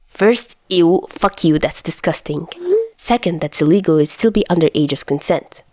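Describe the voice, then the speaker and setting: A woman's voice: sits low, moderate pace, mellow. A woman voicing an anxious, grumpy, foul-mouthed teenage boy with blunt muttering in a story reading. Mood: disgusted.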